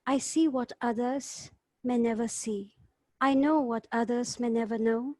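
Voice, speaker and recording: Female poetry-group member, higher-pitched, on a video call with a very dry, echo-free sound. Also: fairly even intonation, unhurried, a little mellow. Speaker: poetry group member reading a chosen poem. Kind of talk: casual discussion and poetry recitation at an online gathering.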